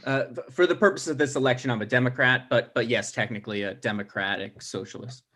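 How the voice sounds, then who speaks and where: A man's voice: mid-range voice, quick pace, pushed and bright. A man playing a stubborn, self-righteous son running for mayor in a comedy script read. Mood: awkward, questioning.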